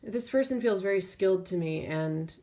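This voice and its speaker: A woman tarot reader speaking off the cuff in an intuitive reading, feeling her way through phrases with drawn-out pauses. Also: mid-range voice, animated, dark tone.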